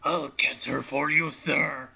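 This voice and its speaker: A villainous orc overlord, acted out by a man in a fan fiction reading. The speech drags deliberately with a very sharp, forceful edge, on a dull, lo-fi microphone. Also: a little high, fairly even intonation, gravelly, husky, heavily acted. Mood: slow, villainous. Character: villainous Dark Lord and orc henchmen, slow.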